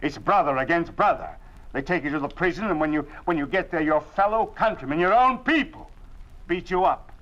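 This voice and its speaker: An actor playing an anxious middle-aged father in a 1950s radio drama, earnest and halting, often repeating words. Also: fairly high pitch, lively intonation, a bit bright. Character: worried middle-aged family father under a regime. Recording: dull old 1950s radio recording.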